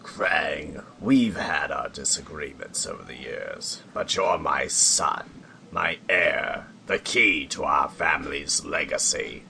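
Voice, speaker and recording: An actor playing a stern, aging alien emperor and father with a grave, gravelly delivery in a comic dub. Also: lively intonation, pushed and bright, a little hiss or hum, full character voice. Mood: solemn, tender. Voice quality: deep, gravelly. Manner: grave, dramatic, deliberate. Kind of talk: comic-dub drama acting.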